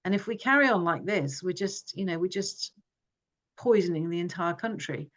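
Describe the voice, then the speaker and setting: A woman's voice: fairly low pitch, moderate pace, a bit bright. A woman who grew up in Hong Kong, giving an earnest forum talk with frequent fillers and restarts. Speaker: forum speaker. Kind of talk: informal presentation.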